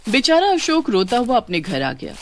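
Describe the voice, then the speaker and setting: A woman's voice: a little high, huge pitch swings, normal speed, no room sound. A woman narrating a scripted story as its storyteller.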